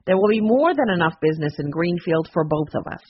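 A woman's voice: on the low side, animated, fairly quick, husky, lo-fi sound. A woman voicing a friendly middle-aged ice cream shop owner in an audiobook, polite and earnest.

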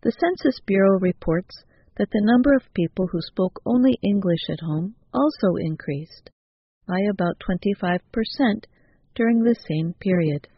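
A woman reading a scripted news report in a measured, even delivery. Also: medium pitch, husky, no room sound, dull audio. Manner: measured, evenly paced delivery. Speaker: news reader.

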